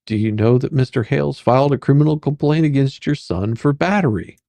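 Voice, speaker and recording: A performer reading the part of a sarcastic, persistent attorney questioning a witness in a dramatic deposition reading, with probing, halting, repetitive phrasing. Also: medium pitch, animated, gravelly, mellow, dry recording. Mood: matter-of-fact.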